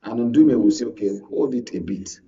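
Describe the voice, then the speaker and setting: A Nigerian man giving unscripted political commentary as a guest analyst, explaining in a halting way. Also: mid-range voice, expressive, warm tone, breathy edge, distant mic, highs cut off.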